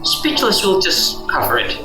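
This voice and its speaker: A man's voice: sits high, huge pitch swings, a little slow, exaggerated voice. A man playing a defensive, sardonic sales executive in a radio drama. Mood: wry. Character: defensive, wry male sales executive under accusation. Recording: echoey room with music. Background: music.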